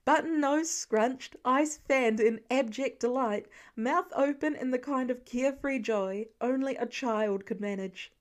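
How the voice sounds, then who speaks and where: A woman's voice: sits high, a little slow, few pauses. A woman narrator reading a scripted piece of fiction as an audiobook.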